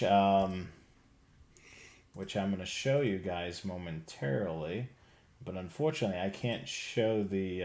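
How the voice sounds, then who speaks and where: A middle-aged man with an American accent, presenting casually as host of a hobby livestream from a room at home. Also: fairly low pitch. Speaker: host of a radio scanner show. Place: indoor home room on a video call.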